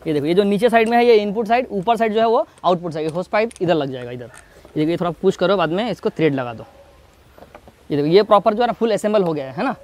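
A man's voice: sits high, lively intonation, quick pace, darkish tone. A young man giving a casual unboxing and demo, chatty and talking straight to his viewers, recorded outdoors in a courtyard garden. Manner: chatty, talks straight to viewers, keeps checking in with "hai na". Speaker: product reviewer.

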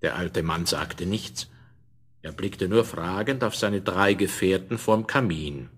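A man's voice: low-pitched, takes it slowly, slightly rough. A man narrating a scripted fairy tale in the manner of a 1970s children's audio play. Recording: noisy vinyl rip with clicks.

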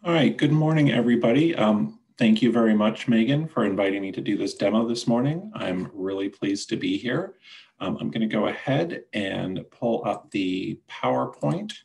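A man's voice: on the low side, normal speed, vocal fry, darkish tone. A man presenting a product demo with slides as a company representative over a video call. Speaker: senior account manager presenting a product demo. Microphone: video call microphone.

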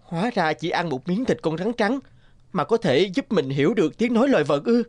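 An actor playing an earnest, kind-hearted young soldier in a fairy-tale cartoon, speaking expressively. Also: male voice, high-pitched, lively intonation, brisk, a little mellow, no echo. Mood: amazed. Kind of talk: animated fairy-tale dubbing. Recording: music bed behind the voice.

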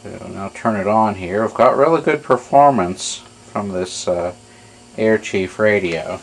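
A man's voice: on the low side, a little slow, vocal fry, low-quality recording. A man giving a casual hands-on demonstration as a radio repairer.

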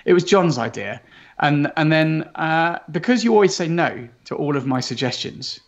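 A man chatting with a colleague in quick, joking banter. His voice has a gravelly fry and sits a touch high for a man. He teases and riffs casually rather than presenting. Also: animated, muffled recording. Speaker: game producer.

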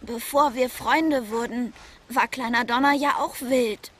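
Woman voicing a high-pitched, earnest cartoon boy. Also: steady tone, measured pace, a little hiss or hum, lightly acted. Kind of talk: dubbed cartoon voice acting. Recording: music behind the voice.

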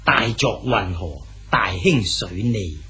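A man with a Cantonese accent, playing an official hosting an old friend in a radio drama, speaking in a slow, sighing way. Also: fairly low pitch, expressive, pushed and bright, soft background music, full character voice.

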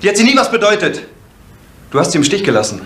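An actor playing a wounded, defiant and fiercely loyal young son in a vintage German crime drama. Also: male voice, high-pitched, very animated, fast, fairly bright tone. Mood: defiant, hurt. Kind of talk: 1970s German television crime drama acting. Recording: echoey room, faint noise.

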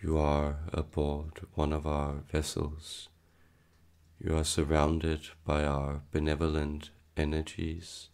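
An extremely low-voiced man guides a meditation at a very slow pace. His delivery is solemn and hypnotic, broken into short phrases with a steady, nearly monotone line. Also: clean tone. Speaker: channeler leading a guided meditation.

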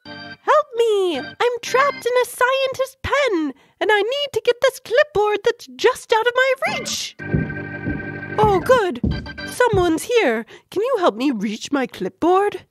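A woman's voice: very high-pitched, big pitch swings, slow pace, soft background music, voice pushed up, exaggerated voice. A woman playing a helpless, pleading scientist stuck in a pen, melodramatic and over-earnest, in a playful children's audio play.

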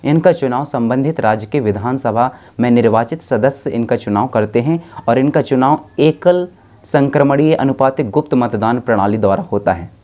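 A young man lectures to camera from a dim room at a desk, using a clip-on mic. His voice is mellow and his pitch sits in the middle. He lays out each point patiently, restarting and correcting himself as he goes, and the recording sounds a little muffled. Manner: explains slowly with self-corrections and restarts. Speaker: civics tutor. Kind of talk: exam-prep lecture to camera.

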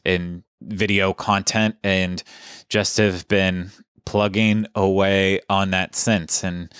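A man in his thirties chatting casually as a podcast producer, with a flat, monotone delivery into a studio mic at home. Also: low-pitched, clean tone, no room sound. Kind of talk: casual chat. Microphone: studio mic on boom arm.

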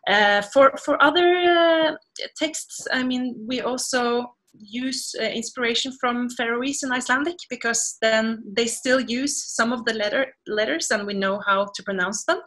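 A Norwegian woman speaks in a bright, fairly high voice over a webcam from her home. She answers interview questions casually and with animation, laughing now and then as she explains her ideas. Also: a little slow. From Norway. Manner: animated, gestures a lot, laughs while talking. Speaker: guest singer. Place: home room full of plants, indoors. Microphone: video call webcam.

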